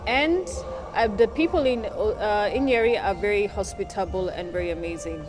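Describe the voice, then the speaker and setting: A woman from Nairobi, answering interview questions in an articulate, measured and earnest way. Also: mid-range voice, over music. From Kenya, Nairobi.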